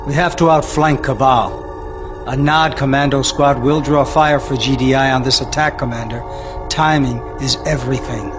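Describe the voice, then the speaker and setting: An actor playing a stern middle-aged army general, giving clipped, authoritative orders in a game cutscene briefing. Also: male voice, medium pitch, steady tone, moderate pace, lightly acted. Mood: grim, commanding. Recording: music behind the voice.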